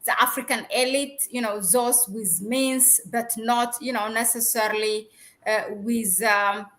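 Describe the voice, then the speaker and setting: A woman hosting a discussion interview, putting questions in a halting, roundabout way. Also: on the high side, fairly even intonation, fairly bright tone. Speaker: interview host.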